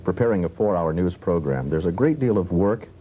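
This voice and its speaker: A man's voice: sits low, expressive, a little fast, mellow. An American man, a radio newsman, talking conversationally as a panel guest. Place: TV studio. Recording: noisy 1970s TV studio tape.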